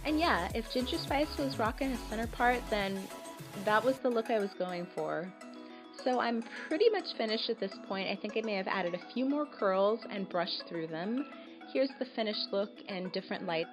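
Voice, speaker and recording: A woman talks through a hair tutorial in a relaxed, chatty voice-over, her voice a little raspy and tired from a cold. She sits at an ordinary middle pitch. Music plays clearly beneath her. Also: moderate pace. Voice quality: slightly raspy. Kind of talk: casual voice-over tutorial narration. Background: background music.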